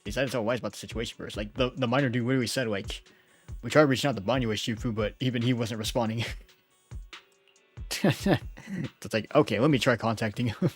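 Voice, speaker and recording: A man's voice: medium pitch, steady tone, quick pace, long pauses, breathy edge, soft background music. A man doing casual gameplay commentary as a streamer, joking and slangy, often chuckling.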